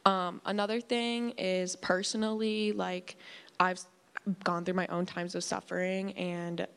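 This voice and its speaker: A woman's voice: lowish voice, flat delivery, a little slow, creaky, fairly bright tone, clean tone. A young woman from the congregation, sharing her thoughts casually and filling her speech with "like.". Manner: casual, uses filler "like". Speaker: congregation member. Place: church hall. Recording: long reverb of a church hall.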